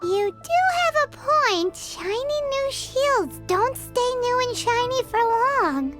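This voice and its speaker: Brief: squeaky, excitable fairy companion with a bouncy delivery. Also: female voice, slow, over music, full character voice. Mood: chirpy. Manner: squeaky, excitable, speaks of herself by name. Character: tiny chirpy female fairy companion, bossy and excitable. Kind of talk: video game voice acting.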